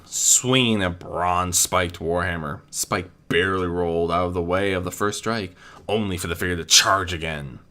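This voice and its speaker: A man with a bright, somewhat low voice narrates a fantasy adventure. His delivery is scripted and dramatic, that of an amateur reader who now and then trips on a word. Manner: amateur reading with occasional stumbles and self-corrections. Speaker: story narrator.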